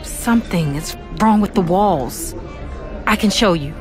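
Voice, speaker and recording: A woman's voice: mid-range voice, unhurried, close to an everyday voice. An actress playing a guarded, troubled woman in her thirties, speaking tersely and cryptically in a sci-fi audio drama. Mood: guarded, uneasy. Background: music.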